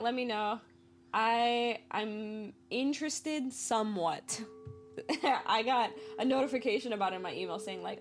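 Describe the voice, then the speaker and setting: A woman in her early twenties hosting a casual solo podcast, rambling and upbeat, laughing often as she talks. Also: fairly high pitch. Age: 20s. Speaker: podcast host. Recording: faint singing bowls behind. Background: soft singing bowls.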